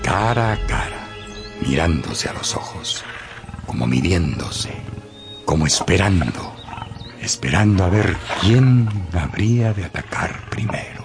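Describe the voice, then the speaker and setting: Male narrator for radio classic: lowish, gravelly, very animated, theatrical and suspenseful. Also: a little slow, over music. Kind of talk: dramatic scripted storytelling for radio.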